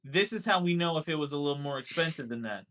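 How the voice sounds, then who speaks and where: A man's voice: fairly high pitch, lively intonation, fast talker, fairly bright tone. A young man in a casual group chat, asking wry questions.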